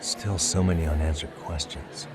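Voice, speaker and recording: A man's voice: extremely low pitch, steady tone, normal speed, very noisy. A man playing a guarded, dry-witted private detective in a dubbed video game cutscene, speaking in a curt, wry, unimpressed way. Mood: puzzled. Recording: loud music over the voice.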